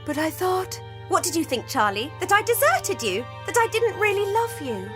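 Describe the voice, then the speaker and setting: Unhinged, sweetly mocking impostor. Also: female voice, very high-pitched, sing-song, moderate pace, fairly bright tone, faint music behind, full character voice. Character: unhinged, gloating woman stalker stealing another's identity. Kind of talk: audio drama acting.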